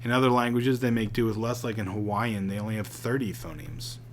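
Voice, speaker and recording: A man's voice: lowish voice, brisk, a little hiss or hum. A man from Pittsburgh with a Pittsburgh accent, teaching a recorded lecture in a chatty, conversational way with casual asides.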